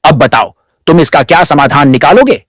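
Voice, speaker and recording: Man acting grave, higher-voiced village council head. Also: animated, dry recording, clean studio sound. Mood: stern, grave. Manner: measured, authoritative. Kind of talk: Hindi animated moral story dialogue.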